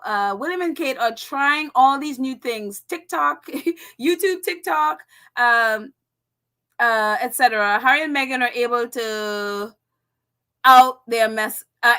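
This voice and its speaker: A woman's voice: a little high, pushed and bright. A woman with a Caribbean accent hosting a casual livestream, reading chat comments aloud with frequent laughs and fillers. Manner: chatty, laughs often, many fillers. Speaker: channel host reading live chat.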